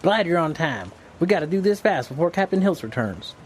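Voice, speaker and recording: An actor playing an upbeat, hurried police officer with a folksy way of talking. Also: male voice, fairly high pitch, sing-song, slight background noise. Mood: eager. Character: eager, chatty male police officer. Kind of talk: audio drama acting.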